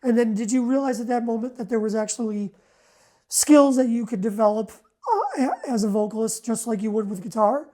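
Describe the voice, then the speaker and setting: Higher-voiced woman interviewer. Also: lively intonation, fairly quick, mellow. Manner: encouraging, frequent short affirmations. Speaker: interviewer, host. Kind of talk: interview questions.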